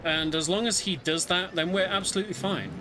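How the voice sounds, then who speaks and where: A man giving casual, conversational live commentary on a video game speedrun, often pausing with ums. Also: sits high, steady tone, noisy background. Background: game music.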